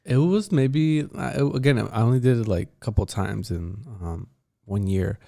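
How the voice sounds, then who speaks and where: A man with a mid-range voice tells stories on a podcast in loose, casual chat. He pokes fun at himself, getting animated as he goes. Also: medium pitch, normal speed, creaky. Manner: animated storytelling, self-mocking. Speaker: podcast co-host.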